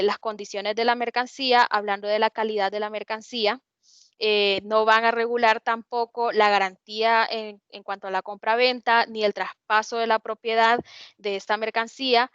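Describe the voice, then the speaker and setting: A woman's voice: on the high side, fairly even intonation, normal speed, fairly bright tone, clean tone, no room sound. A woman with a Honduran accent giving an online lecture, explaining step by step with frequent hesitations and restarts. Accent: Honduran Spanish. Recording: dull dry lo-fi audio.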